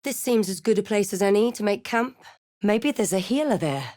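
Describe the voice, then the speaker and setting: A woman voicing a composed, snarky adventurer and spellcaster in a fantasy video game, with a deliberate, dramatic delivery. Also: mid-range voice, expressive, a little mellow, no echo, clean studio sound, close to an everyday voice. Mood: solemn, controlled. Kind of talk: fantasy game voice lines and scripted narration.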